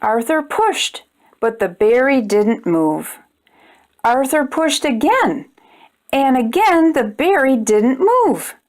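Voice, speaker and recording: A woman's voice: high-pitched, very animated, slow pace, a little mellow. A woman hosting a children's storytime, reading aloud expressively and speaking directly to young listeners. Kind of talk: children's read-aloud narration.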